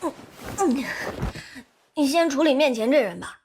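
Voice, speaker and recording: A woman's voice: high voice, animated, measured pace, darkish tone, a little hiss or hum. A woman voicing a clever, precocious four-year-old boy in an audio drama, with a wheedling, childish whine. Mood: urgent.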